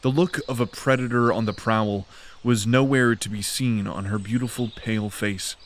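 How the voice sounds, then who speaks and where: A man narrating a fantasy audio drama in a measured, steady storytelling manner. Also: on the low side.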